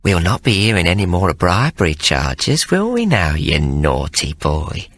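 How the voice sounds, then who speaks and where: A man's voice: mid-range voice, huge pitch swings, unhurried, bright, forceful tone, no room sound, pitched above the performer's own voice, exaggerated voice. A man voicing a scheming woman nanny in an audiobook, cooing and teasing. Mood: coaxing. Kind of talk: audiobook character voice.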